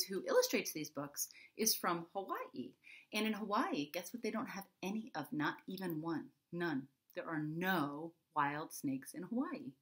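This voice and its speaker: A woman in her forties, a children's author, reading expressively and explaining things simply and directly to young listeners at home. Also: fairly low pitch. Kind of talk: expressive read-aloud and chat for young children. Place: small furnished room at home, indoors.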